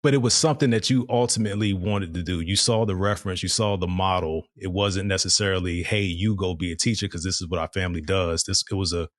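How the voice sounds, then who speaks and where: A man's voice: low voice, steady tone, fast, few pauses, no room sound, clean studio sound. A man hosting a podcast interview, prompting his guest with frequent affirmations and rephrased questions. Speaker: podcast host. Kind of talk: casual interview.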